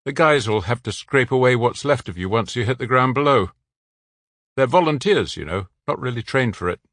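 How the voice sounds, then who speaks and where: A man reading the part of a patient country policeman who talks in a calm, coaxing, matter-of-fact way. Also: mid-range voice, moderate pace, no echo, clean studio sound, natural voice.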